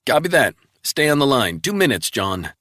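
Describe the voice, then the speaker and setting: A man voicing a brisk male intelligence analyst reporting findings over the phone, in a dry audiobook reading. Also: medium pitch, very animated, sharp tone, dry recording, pitched above the performer's own voice. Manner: brisk, rapid, matter-of-fact reporting.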